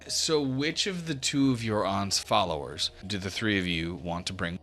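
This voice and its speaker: A man's voice: on the low side, moderate pace, sharp tone, slight background noise. A man narrating a tabletop role-playing game as the game master. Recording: music under the voice.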